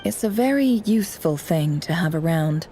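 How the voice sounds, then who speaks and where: A woman playing a composed adult female video game character, speaking in a measured, knowing way. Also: fairly low pitch, animated, noisy background. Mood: wry, knowing. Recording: game music clearly behind voice.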